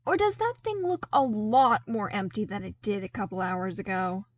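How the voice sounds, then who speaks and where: A woman's voice: medium pitch, moderate pace, no room sound, lightly acted. A woman voicing a frank, teasing, loyal male soldier in an audiobook, wry and familiar but deferential. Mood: incredulous. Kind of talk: audiobook character dialogue.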